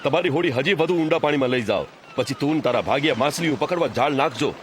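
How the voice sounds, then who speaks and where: A man with a Kathiawadi Gujarati accent playing a calm, authoritative religious teacher in a scripture audio drama, speaking in measured declarations. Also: a little high, slight background noise, lightly acted. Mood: calm, assuring. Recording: music under the voice.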